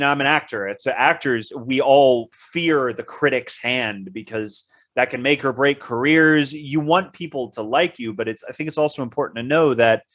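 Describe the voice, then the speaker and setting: A man's voice: mid-range voice, a bit bright. A man co-hosting a podcast, speaking in casual conversation. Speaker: co-host, actor.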